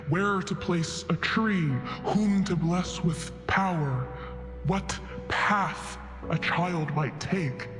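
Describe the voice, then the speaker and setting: A man playing a solemn, benevolent god in a fantasy roleplay, speaking in a grave, stately and measured manner. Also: on the high side. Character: ancient, solemn, benevolent god weighing cosmic order. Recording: long reverb with music behind.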